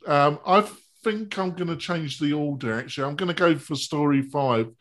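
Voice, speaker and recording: A man's voice: on the high side, moderate pace, fairly bright tone. A man hosting a live web panel show, chatty and promotional as he goes to a break. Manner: chatty, plugs a webinar with food metaphors. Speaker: show host.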